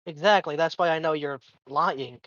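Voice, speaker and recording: A man's voice: on the high side, a bit bright, dry recording, muffled recording. Young male player on a chat mic, dry close sound, pushy and argumentative. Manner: bantering, pushy, quick to argue. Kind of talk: casual voice chat during a tabletop game. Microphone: online voice chat mic.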